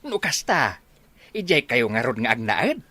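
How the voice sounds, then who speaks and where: An actor's swooping, excitable old Ilocano father. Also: mid-range voice, a little slow, no echo, heavily acted. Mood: hesitant. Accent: Ilocano Filipino. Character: old village father, excitable, eager grandfather-to-be. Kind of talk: radio comedy-drama acting.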